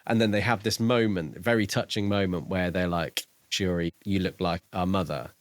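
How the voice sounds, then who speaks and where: A man's voice: deep voice, steady tone, moderate pace, no room sound. A man co-hosting a podcast, discussing a book casually in a questioning, mildly pedantic way. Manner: questioning, self-admittedly pedantic. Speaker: podcast co-host.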